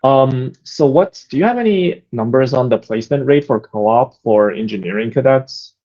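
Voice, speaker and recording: A man's voice: medium pitch, lively intonation, normal speed, dark tone. A man attending an online meeting, casually asking questions. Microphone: online meeting call. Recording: dull lo-fi online call audio.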